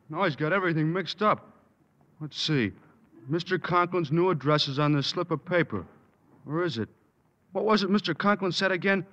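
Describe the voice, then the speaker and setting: Dopey, earnest schoolboy. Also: male voice, high-pitched, bright, forceful tone, muffled recording. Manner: slow-witted, earnest, fumbling. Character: dim-witted good-natured teenage schoolboy. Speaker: student. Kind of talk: 1950s radio sitcom acting.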